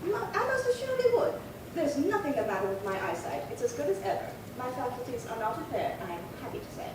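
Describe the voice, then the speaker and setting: A woman's voice: sits high, big pitch swings, quick pace. A woman acting a prim, chatty, indignant old lady in her late seventies in a 1950s-style radio crime drama, fussy and rambling. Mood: prim, flustered. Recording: very echoey, distant, noisy room.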